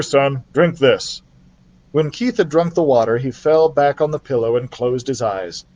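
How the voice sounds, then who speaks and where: A man reading a children's book aloud as a library storyteller, in an expressive style. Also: medium pitch.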